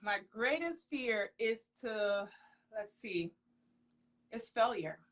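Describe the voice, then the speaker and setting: A woman's voice: on the high side, animated, slow pace, a bit bright. Earnest, emphatic young woman model. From Haitian household, raised in the US. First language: Haitian Creole. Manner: emphatic, earnest, exclaims often. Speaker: professional model, interview guest. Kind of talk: casual live interview chat. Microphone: phone on a video call.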